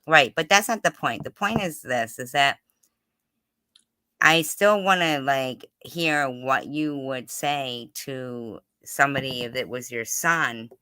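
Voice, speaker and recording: Bright, clear voice pressing a point. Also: medium pitch, no echo. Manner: insistent, halting, repeats and circles back to the point. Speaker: livestream host and interviewer. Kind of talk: casual livestream interview chat.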